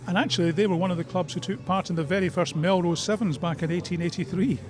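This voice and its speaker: Male sports radio interviewer, Scottish accent, high voice, brisk delivery, conversational and live. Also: steady tone.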